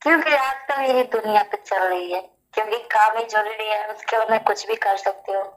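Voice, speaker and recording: Woman from delhi on a phone call, normal pitch, short answers, keeps insisting and repeating herself. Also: normal speed. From Delhi, India. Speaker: caller seeking film work. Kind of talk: phone conversation.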